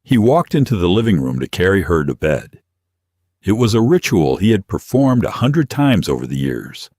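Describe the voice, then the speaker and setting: Male audiobook narrator, very low voice, expressive melody, dramatic scripted read, dry studio-like sound. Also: normal speed, no echo.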